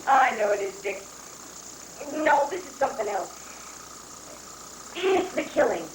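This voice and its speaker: A woman's voice: sits high, big pitch swings, measured pace. A woman playing a folksy, vain male American president in an amateur satirical stage reading, rambling and theatrical. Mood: troubled. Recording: echoey, noisy live recording from far off.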